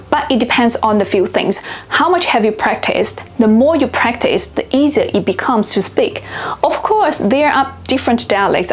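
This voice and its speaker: Chinese-native woman explaining languages. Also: a little high. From China. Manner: clear, deliberate, slows down to pronounce example words. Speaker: language teacher and video host. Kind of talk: scripted educational narration.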